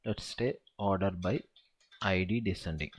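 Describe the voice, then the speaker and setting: A man's voice: deep voice, moderate pace, slightly rough. A man tutoring SQL in a screen-recorded walkthrough, thinking aloud and counting through each step.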